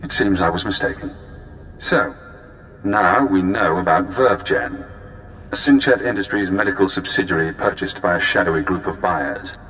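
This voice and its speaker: An actor playing a composed, analytical spy handler in a video game, speaking in a polite, measured, formal way. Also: male voice, a bit bright. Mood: polite, pleased. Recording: echoey, with faint low rumbling noise.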